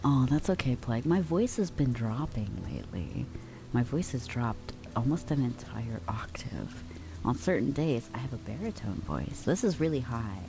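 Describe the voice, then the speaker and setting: A young adult woman streamer chatting softly with her viewers, drifting in and out of whispers, relaxed and affectionate. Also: extremely low pitch, normal speed. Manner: relaxed, affectionate, reads and answers chat; drifts between soft talk and whispers. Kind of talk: soft-spoken ASMR chat with viewers.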